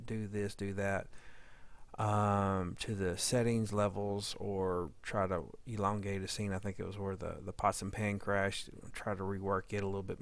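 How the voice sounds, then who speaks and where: A man giving a casual, unscripted editing demo as a sound editor, halting and rambling as he thinks aloud. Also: fairly low pitch, slight background noise. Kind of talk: casual unscripted software tutorial narration.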